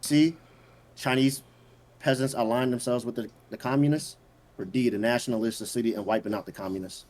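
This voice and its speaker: A man hosting a casual quiz with friends, reading out questions and answer options at an unhurried pace. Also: mid-range voice, steady tone, a little mellow. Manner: reads answer options slowly, teases players. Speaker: quiz host.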